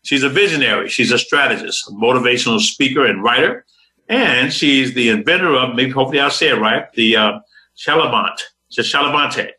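A man's voice: mid-range voice, expressive, fairly quick, bright, forceful tone, poor audio. A man hosting a motivational talk show, speaking in an upbeat, preacher-like way.

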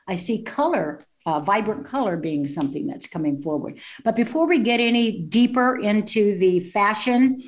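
A woman's voice: medium pitch, expressive, normal speed, few pauses. A woman hosting an interview in a chatty style, laughing often and drifting into asides. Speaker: host. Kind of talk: casual interview chat.

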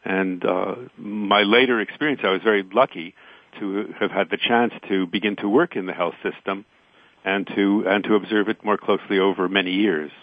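An older man recalling personal experience as an interview guest, speaking haltingly and often repeating words as he goes. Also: on the low side, fairly even intonation, dry recording. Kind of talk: reflective personal recollection. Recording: dull, band-limited connection.

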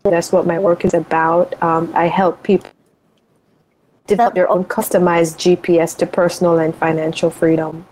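Earnest motivational woman, lowish voice. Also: flat delivery, moderate pace, darkish tone, slight background noise, low-quality recording. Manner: earnest, motivational, thinks aloud with restarts. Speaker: guest, life and money coach. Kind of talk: reflective interview talk.

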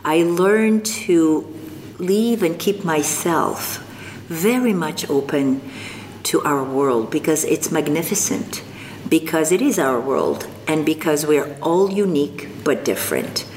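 An older woman from North Africa, speaking as an interview guest, slow and thoughtful with frequent pauses. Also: low voice, expressive, a little mellow. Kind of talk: reflective interview conversation. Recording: long reverb, faint background noise.

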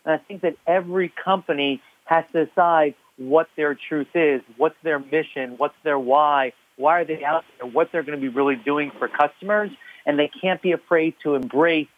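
A man's voice: a little high, moderate pace, no echo. A man, a panelist on a radio talk show, speaking earnestly with a light joking touch. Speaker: guest panelist. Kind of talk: radio interview. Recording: tinny phone line.

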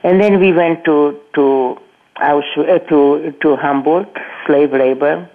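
Older woman, halting, over a phone line. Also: low-pitched. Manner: halting, with many restarts and repeated words; non-native English grammar. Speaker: Holocaust survivor guest. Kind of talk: personal testimony in an interview.

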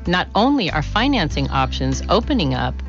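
Female ad announcer, lowish animated voice, smooth upbeat read, faint music bed. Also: normal speed. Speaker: commercial announcer. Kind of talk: scripted ad read. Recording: dull radio ad sound with music bed.

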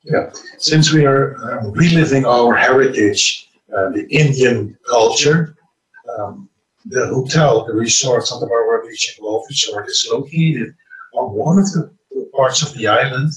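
Curaçaoan retreat organizer, man, measured delivery with fillers, heard from across an echoey room. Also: mid-range voice, recorded from a distance. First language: Papiamento. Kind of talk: interview answers.

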